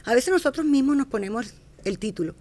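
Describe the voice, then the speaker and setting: Animated higher-voiced woman. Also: a little fast. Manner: animated, warm storyteller, acts out quoted speech, restarts and corrects herself. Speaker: podcast guest, fashion entrepreneur. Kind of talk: casual interview storytelling.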